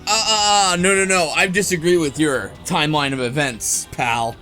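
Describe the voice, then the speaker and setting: A man hosting a casual podcast discussion of a game story, talking in an animated, argumentative way. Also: a little high, normal speed. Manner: animated, argumentative, quotes characters while explaining. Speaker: podcast host. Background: music behind the voice.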